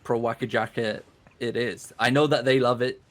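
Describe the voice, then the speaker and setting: A man giving relaxed, chatty live play-by-play commentary on an online game battle. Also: mid-range voice, moderate pace. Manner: relaxed, chatty, laughs easily. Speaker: esports caster.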